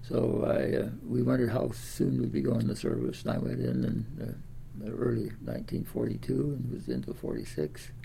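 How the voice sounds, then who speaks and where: A man of ninety with an American Midwestern accent, reminiscing in a halting, rambling way with repeated words. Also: medium pitch, gravelly, warm tone, a little hiss or hum, low-quality recording. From Des Moines, Iowa, United States. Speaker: interviewee, World War Two veteran. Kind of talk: oral history reminiscence.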